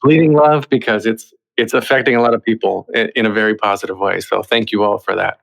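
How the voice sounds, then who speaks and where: A man's voice: fairly low pitch, steady tone, fast talker, vocal fry, fairly bright tone, rough recording. A man hosting a podcast interview in a conversational style. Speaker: podcast host.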